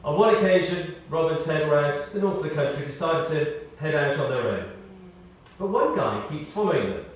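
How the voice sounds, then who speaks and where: A man reading a prepared tribute aloud in short, measured phrases, with wry storytelling. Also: fairly high pitch, expressive. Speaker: tribute speaker. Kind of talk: read-out memorial tribute with anecdotes. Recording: echoey hall, distant, faint noise.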